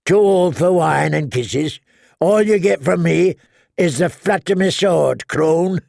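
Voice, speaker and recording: A man voicing a blunt, scornful male outlaw who speaks gruffly and loudly. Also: fairly high pitch, slow, no room sound, studio quality, pitched above the performer's own voice, heavily acted.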